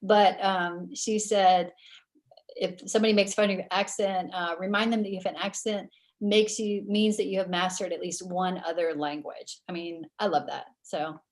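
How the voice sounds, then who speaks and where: A woman's voice: lowish voice, flat delivery, normal speed. A woman from Indiana moderates a video webinar, praising the speakers with enthusiasm.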